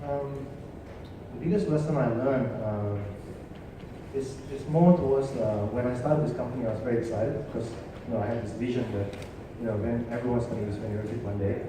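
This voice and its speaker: A man's voice: medium pitch, normal speed. A man, a company founder on a panel, speaking reflectively with frequent "um" and "you know". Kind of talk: panel talk. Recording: echoey hall, distant mic, noisy.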